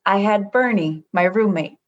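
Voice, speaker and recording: An actress playing an earnest, kindly android lieutenant in a comedy radio play, formal and precise. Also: female voice, mid-range voice, normal speed, dull audio, lightly acted. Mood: warm sincerity. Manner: formal, precise, avoids contractions. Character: earnest, literal-minded, kindly female android junior lieutenant.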